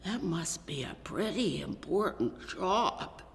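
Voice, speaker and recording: A woman's voice: lowish voice, lively intonation, slow, creaky, slight background noise, heavily acted. Woman voicing a curious young boy in an echoey hall. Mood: awed. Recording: echoey hall with long reverb.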